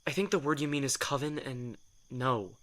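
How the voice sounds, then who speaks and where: A man's voice: medium pitch, moderate pace, a bit bright, natural voice. An actor playing a brooding, reluctant male vampire who answers in dry, curt replies in an ASMR audio drama.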